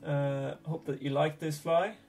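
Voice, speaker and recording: A man in his thirties with a Swedish accent, a Swedish native speaker explaining fly tying in a relaxed, hesitant way from a small home workshop. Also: fairly high pitch, measured pace, soft background music. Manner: relaxed, hesitant, thinking aloud. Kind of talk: casual tutorial narration.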